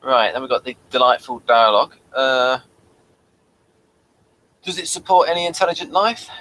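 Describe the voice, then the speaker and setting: A man's voice: mid-range voice, expressive, normal speed, sharp tone, rough recording. A man hosting a conversational review, reading notes aloud and adding dry, wry asides. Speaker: review show host.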